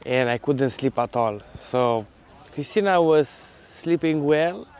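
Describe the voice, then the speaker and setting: Male travel vlogger, thirties, smooth clear voice pitched a bit high, casual. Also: expressive, slow pace, a little mellow. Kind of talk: casual vlog talk to camera. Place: outdoors, open beach and lakeside woodland.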